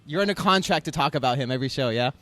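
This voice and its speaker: A man talks football as a guest analyst on a sports radio show. He speaks quickly and hesitates often, filling gaps with "uh" and "you know". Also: mid-range voice, expressive, fairly bright tone. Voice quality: hesitant, full of fillers. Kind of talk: casual sports chat.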